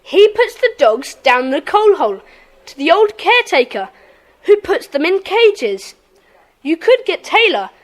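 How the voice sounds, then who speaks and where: High, lively, earnest schoolboy. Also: female voice, measured pace, heavily acted. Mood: earnest, eager. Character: earnest, proud schoolboy leader of secret society. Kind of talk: audiobook radio-play dramatisation.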